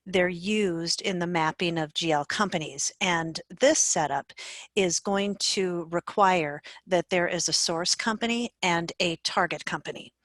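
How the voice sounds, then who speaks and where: A woman with a fairly low voice narrates an instructional screencast. She explains things in a measured manner, as a software trainer would. The sound is dry, with very little room echo.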